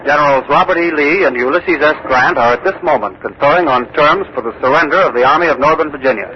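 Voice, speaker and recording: An actor playing a radio field reporter giving a formal, precise live-news report. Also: male voice, on the high side, fast, natural voice. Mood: intent, factual. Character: measured male radio reporter at historic scene. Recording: muffled old radio, faint noise.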